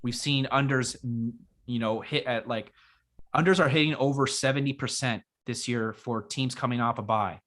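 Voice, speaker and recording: Raspy-voiced man hosting a sports chat. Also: mid-range voice, normal speed. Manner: relaxed, rambling, filler-heavy. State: raspy after illness. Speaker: podcast co-host.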